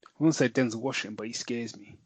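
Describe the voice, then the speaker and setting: A man's voice: mid-range voice, fast talker, husky, no room sound. A man co-hosting a podcast in casual chat, rambling and correcting himself, laughing easily. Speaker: podcast co-host and novelist.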